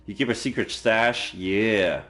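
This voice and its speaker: A man with a lowish voice streams a visual novel. He reads the text aloud and comments casually on his play, with faint game music behind him. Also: fairly even intonation, unhurried, sharp tone. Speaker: video game livestreamer.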